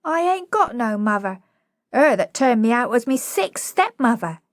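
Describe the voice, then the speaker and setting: A woman voicing a brash young flower girl, loud and indignant. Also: on the high side, very animated, measured pace, dry recording, studio quality, pitched above the performer's own voice. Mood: defiant.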